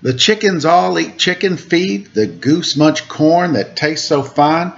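A man in his forties reading a children's picture book aloud in a playful, expressive way, with chatty asides. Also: fairly high pitch, slow pace. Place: room at home, indoors. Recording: muffled webcam audio with clear background noise.

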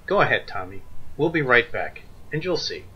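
A man playing a reasonable, puzzled suburban husband trying to stay calm in a radio play. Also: mid-range voice, normal speed, breathy edge, highs cut off. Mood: puzzled, reassuring.

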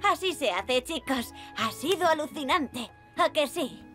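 A young woman climbing instructor from a children's cartoon, in Castilian Spanish. Her voice is fairly high and very animated, cheerful and reassuring as she talks to little ones. Soft music plays behind her. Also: measured pace. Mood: delighted. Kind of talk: animated dub dialogue.

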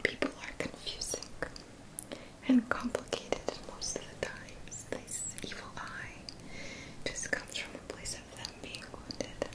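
A woman in her thirties whispers gentle, soothing affirmations as an ASMR energy healer, in a soft, breathy voice close to the mic. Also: low-quality recording. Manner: gentle, slow, deliberate phrasing with pauses. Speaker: ASMR energy healing practitioner. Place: small dim indoor room. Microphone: close mic, seated near the camera.

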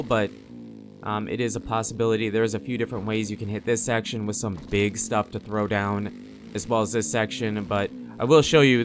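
Male gaming host, mid-range pitch, casual commentary style, clear game engine noise behind the voice.

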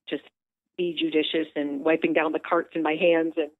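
A woman with a low voice, talking chattily on a phone call into a radio show, upbeat, with lots of fillers. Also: flat delivery, normal speed, dry recording. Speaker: theater director calling in. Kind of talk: casual phone interview. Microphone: telephone. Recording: tinny phone line.

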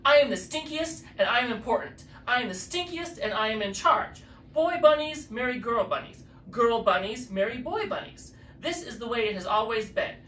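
Drag performer putting on a loud, bossy stink bug villain. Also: medium pitch, animated, moderate pace, voice pushed up, natural voice. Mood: bossy, commanding. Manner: loud, domineering, declarative. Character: bossy, scary, self-important male stink bug villain. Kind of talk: children's storybook character voice. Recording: roomy, distant mic, faint noise.

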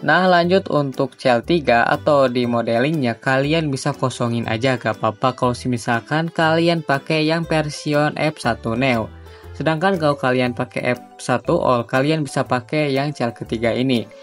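A young man hosts a casual how-to video. He talks at a middling pitch in a chatty, slangy way, walking viewers through steps on a screen, with faint music underneath. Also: normal speed. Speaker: YouTube tutorial host. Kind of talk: casual screen-recording tutorial narration. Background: background music.

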